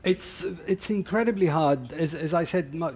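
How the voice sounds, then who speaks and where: A woman's voice: sits low, normal speed, darkish tone, slightly rough. A woman co-hosting a radio discussion and putting questions to people. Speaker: radio journalist and co-host.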